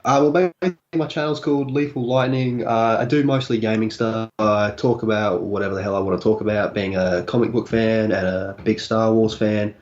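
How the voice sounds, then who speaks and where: A man's voice: fairly low pitch, steady tone, a little fast, few pauses, darkish tone, dull audio. A man in his twenties from Adelaide with an Australian accent, chatting casually as a guest and dropping Aussie slang. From Adelaide, South Australia. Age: 20s. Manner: laid-back, uses Aussie slang. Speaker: guest, gaming YouTuber. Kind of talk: casual interview chat.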